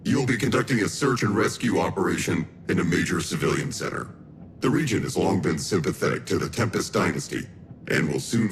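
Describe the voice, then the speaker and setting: A man's voice: deep voice, vocal fry. An actor playing a polite, calculating male official who speaks in a formal, polished way in a game cutscene. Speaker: official assigning a mission. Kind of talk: video game cutscene acting. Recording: roomy with noticeable background noise.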